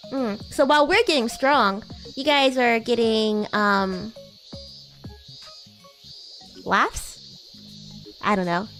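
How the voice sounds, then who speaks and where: A woman's voice: a little high, slow pace, a bit bright, faint music behind. A woman voicing a bratty, sweet young demon girl in casual roleplay chat, with hesitant, girlish delivery. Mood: chatty. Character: bratty, sweet young female demon classmate from another world. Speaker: VTuber streamer.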